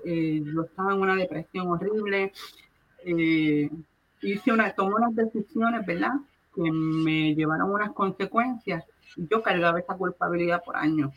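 A woman's voice: lowish voice, a bit bright, dull audio. A middle-aged woman with a Puerto Rican accent gives a personal religious testimony, speaking earnestly and directly to listeners with emphatic repetition. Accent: Puerto Rican Spanish. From Puerto Rico.

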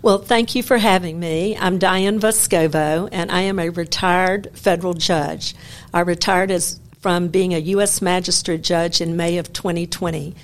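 A woman in her sixties from Memphis, a retired judge telling stories from her career in a measured, wry way. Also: lowish voice, steady tone. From Memphis, Tennessee. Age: 60s. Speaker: guest, retired federal judge. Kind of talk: conversational interview.

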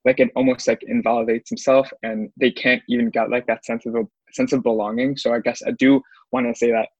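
A man speaking quickly and hesitantly in a casual discussion, full of fillers and restarts. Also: medium pitch, little pitch movement, slightly rough, dry recording. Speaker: panel speaker. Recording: dull, dry recording.

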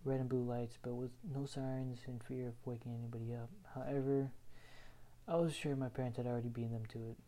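A young man from Houston, Texas, reading his own written personal story aloud in an earnest, measured way. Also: medium pitch, flat delivery, dark tone, poor audio. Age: young adult.

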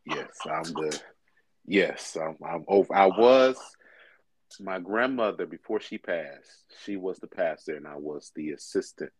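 Deep-voiced young man pastor. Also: unhurried, husky, dry recording, poor audio. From Michigan. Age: 20s. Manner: relaxed, conversational, with frequent fillers. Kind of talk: casual interview conversation.

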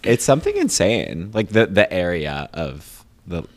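An American man in his mid-twenties chatting casually and telling things in a joking, animated way. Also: deep voice, unhurried. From New York state, United States. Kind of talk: casual chat.

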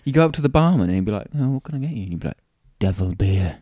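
A man's voice: lowish voice, expressive, rapid-fire, warm tone, no room sound, studio quality. A British man co-hosting a casual show, bantering in a jokey, rambling, self-deprecating way. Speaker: co-host of a chat show about beer and snacks.

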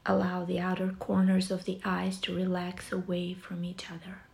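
A woman guiding a yoga nidra relaxation session in a soft, soothing, breathy way, with long pauses between short phrases. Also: lowish voice, completely flat delivery, a little hiss or hum. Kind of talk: guided relaxation meditation.

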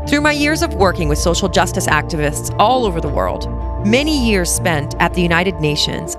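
A woman narrates a podcast trailer as its host, in a fairly low voice with lively intonation. Music plays clearly behind her.

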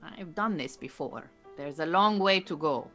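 A woman acting a calm, exacting Iranian refugee beekeeper in an audio drama, speaking in a measured, dry and formal way. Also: low-pitched, faint music behind. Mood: composed.

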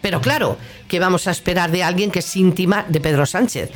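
A woman's voice: on the low side, brisk, soft background music. A woman with a Castilian Spanish accent hosting a radio show, delivering a political opinion monologue in an indignant, sarcastic, colloquial way.

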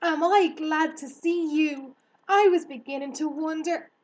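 A woman voicing an earnest young farm girl in a storybook, excitable and a little anxious. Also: sits high, normal speed, recorded from a distance, pitched above the performer's own voice. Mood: relieved, flustered.

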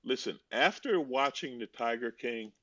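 A man with a deep voice, answering fan questions with wry, deadpan jokes and drawn-out punchlines during a video call. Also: dry recording. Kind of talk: joking video-call chat. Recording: dull, lo-fi video-call audio.

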